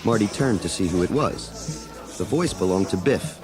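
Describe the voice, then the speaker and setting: Animated low-voiced male storybook narrator. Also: moderate pace, over music. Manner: dramatic, expressive storytelling. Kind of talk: scripted children's read-along narration.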